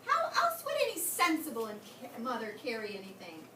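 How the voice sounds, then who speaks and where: Woman doing a brisk no-nonsense mother monkey. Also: on the high side, wildly swooping pitch, voice pushed down. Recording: echoey room, far from mic, background noise.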